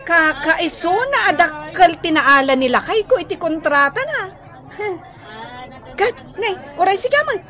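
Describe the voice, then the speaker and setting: A woman's voice: extremely high pitch, unhurried, heavily acted. An actress playing a dramatic, teasing village woman in an Ilocano radio comedy, with theatrical delivery and an Ilocano accent. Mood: teasing, gossipy. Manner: theatrical, expressive.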